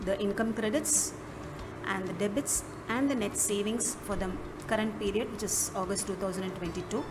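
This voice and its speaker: A woman presents a software walkthrough in an instructional manner, with halting phrasing. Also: medium pitch, noisy background. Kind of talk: step-by-step app walkthrough narration. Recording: music behind the voice. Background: clearly audible music.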